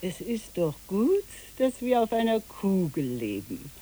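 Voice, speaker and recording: A woman's voice: medium pitch, very animated, a little slow, warm tone, husky. An actress playing a dreamy, eccentric boat-rental woman in a literary radio play, musing and then giving orders. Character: dreamy, eccentric woman who rents out boats.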